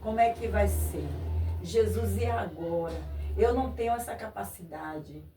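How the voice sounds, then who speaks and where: A woman from Brazil giving a faith testimony as a guest, halting and repeating her phrases. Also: medium pitch. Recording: echoey room, voice a bit far from mic, faint noise.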